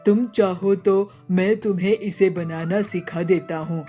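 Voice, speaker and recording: A woman's voice: fairly low pitch, fairly even intonation, a little slow, warm tone, soft background music, lightly acted. A woman voicing an easygoing older male parrot who sells food in a cartoon story, speaking in a proud, kindly manner. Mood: proud, content.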